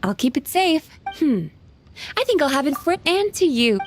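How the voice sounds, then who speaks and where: A woman's voice: high voice, big pitch swings, normal speed, background noise. A woman playing a gracious, cheerful young shopkeeper in a video game, with a polite and appreciative manner. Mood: delighted, polite. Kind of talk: video game character dialogue.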